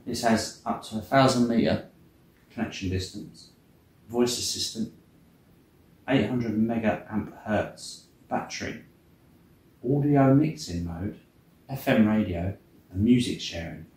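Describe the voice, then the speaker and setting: A man's voice: on the low side, unhurried, long pauses, darkish tone. A man giving a chatty, informal product commentary as he unboxes and reviews gear. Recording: roomy indoor sound, faint noise.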